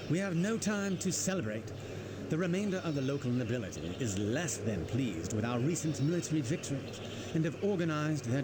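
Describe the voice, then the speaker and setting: A man's voice: medium pitch, close to an everyday voice. An actor playing a noble, grave prince who addresses his general in a formal, earnest game cutscene monologue. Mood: grave, urgent. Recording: voice under loud background music. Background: loud music.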